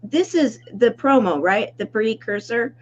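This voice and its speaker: Slow-talking lively woman host. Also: on the high side, lo-fi sound. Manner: enthusiastic, laughs often. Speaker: show host. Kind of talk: casual interview chat.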